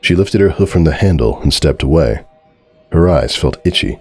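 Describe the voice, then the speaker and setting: Casting: male narrator, profoundly deep, solemn dramatic storyteller, faint music underneath. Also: lively intonation, normal speed, a little mellow, slightly rough. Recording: music behind the voice.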